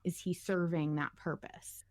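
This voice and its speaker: Casual woman chatting, low-pitched and dry-sounding. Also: flat delivery, a little slow, darkish tone, no echo. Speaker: podcast panelist. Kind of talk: casual chat discussion of a fiction podcast.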